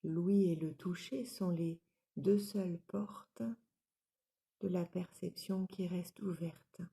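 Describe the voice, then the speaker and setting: A woman leading a guided yoga nidra relaxation as an instructor, giving calm, soothing, measured instructions. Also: fairly low pitch, vocal fry.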